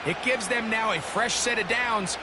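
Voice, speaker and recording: A man's voice: sits high, normal speed, very noisy, lightly acted. A man with an American accent voicing a folksy football color analyst who explains the game with emphasis. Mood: keen, emphatic. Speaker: color commentator. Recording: loud music behind the voice.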